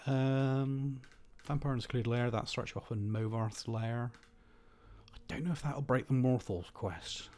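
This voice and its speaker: A slightly hoarse man giving rambling, wry, opinionated commentary while playing a game. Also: medium pitch, a little slow, a little mellow. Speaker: gaming host. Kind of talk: casual gameplay commentary.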